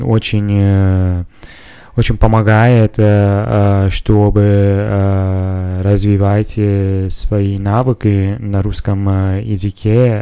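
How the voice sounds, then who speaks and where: A man's voice: on the low side, warm tone, clean tone, no echo. A man from Italy with an Italian accent, a non-native speaker hosting a solo podcast, talking hesitantly with many filler sounds. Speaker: podcast host. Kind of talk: solo podcast monologue in a learned language.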